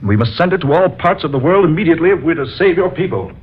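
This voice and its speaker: A man's voice: a little high, animated, quick pace, slightly rough, soft background music. An actor playing an earnest young male doctor from another world in a 1940s radio drama, with heroic, declaiming delivery. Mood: urgent. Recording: tinny lo-fi old radio broadcast.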